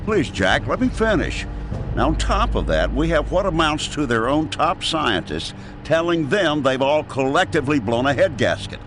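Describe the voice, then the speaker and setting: A man's voice: on the high side, sing-song, normal speed, background noise. An actor playing an exasperated, incredulous intelligence officer arguing his point in a video game cutscene. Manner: incredulous, argumentative. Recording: background music under the voice.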